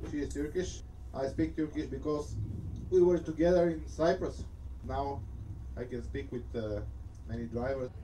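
A man's voice: mid-range voice, moderate pace, dark tone, a little hiss or hum, rough recording. A truck driver speaking plainly in a documentary clip about his life on the road.

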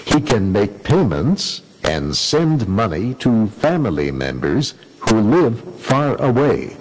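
A man reading a scripted radio news report in a measured broadcast delivery. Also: fairly low pitch, big pitch swings, breathy edge, poor audio.

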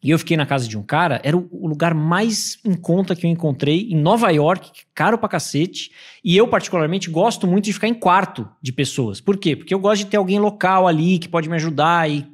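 A man's voice: a little high, a little fast, breathy edge. A man in his thirties, a guest on a podcast, telling stories and acting out the people he quotes, speaking into a studio mic. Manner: animated storytelling, acts out quoted people. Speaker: podcast guest, Airbnb host. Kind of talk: casual podcast chat. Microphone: studio mic with headphones.

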